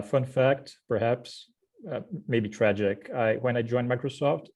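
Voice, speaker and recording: A man's voice: fairly low pitch, darkish tone. A man in his forties with a Brazilian accent, giving a friendly introduction on a video call, with frequent filler sounds and self-deprecating remarks. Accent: Brazilian Portuguese. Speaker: guest speaker, head of corporate strategy. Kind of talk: casual webinar self-introduction.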